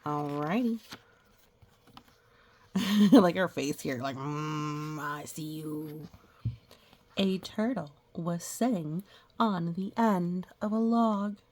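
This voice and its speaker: A woman reading a children's picture book aloud, with playful sound effects and casual, gentle explanatory asides. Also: mid-range voice, slow, long pauses. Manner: explains gently to young listeners.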